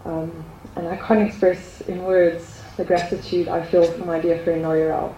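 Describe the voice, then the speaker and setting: A woman with a low, mellow voice shares a heartfelt spiritual testimony, pausing often and filling the gaps with ums. The room is echoey, with a faint hum behind her. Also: little pitch movement. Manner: earnest, hesitant, many ums. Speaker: group speaker sharing testimony.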